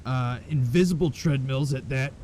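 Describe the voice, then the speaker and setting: A man's voice: medium pitch, moderate pace. A man commentating a live esports match, playing along dryly with his co-caster's jokes. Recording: steady game noise behind the voice.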